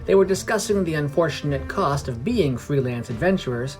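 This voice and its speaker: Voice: mid-range voice, lively intonation, brisk, darkish tone. A podcast narrator reading a scripted fantasy story. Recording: music under the voice. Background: background music.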